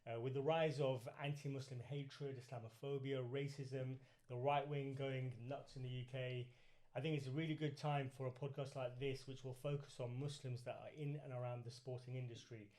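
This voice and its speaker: A man's voice: medium pitch, little pitch movement, normal speed, darkish tone. A man with a southern English accent, talking casually as a podcast host and interviewer. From UK, grew up in Reading.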